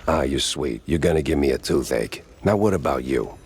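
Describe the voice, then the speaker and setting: Casting: weary noir mouse gumshoe, American, bottom-register gruff voice, dry and streetwise, cartoon detective game. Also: male voice, very deep voice, expressive, normal speed, slight background noise. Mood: wry. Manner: wry, hard-boiled, slangy. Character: world-weary cynical mouse private investigator, war veteran. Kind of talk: 1930s noir detective acting.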